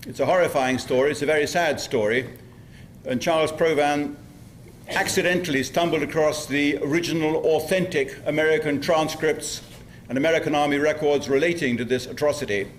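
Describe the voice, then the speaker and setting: A man acting as host, giving a formal, measured introduction of a speaker. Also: on the high side, a little hiss or hum.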